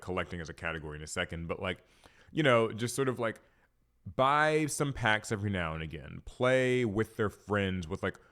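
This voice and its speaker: Deep-voiced man, stuffy from a cold. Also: a bit bright, clean tone. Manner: rambling, many fillers and restarts. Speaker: card game podcast host. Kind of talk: casual solo talk to camera.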